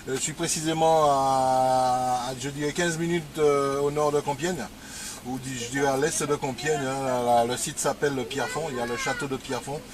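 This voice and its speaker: A mid-pitched man talks casually as a ham radio operator, passing on details to his contacts. The transmission is clearly noisy with radio static. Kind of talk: casual ham radio exchange, spelling call signs.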